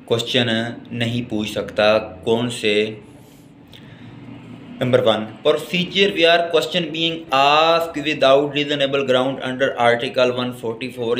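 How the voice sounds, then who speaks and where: A man's voice: medium pitch, fairly bright tone, slight background noise. A man with a Pakistani accent giving a law lecture, didactic, moving between word-by-word dictation and explanation. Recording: echoey room.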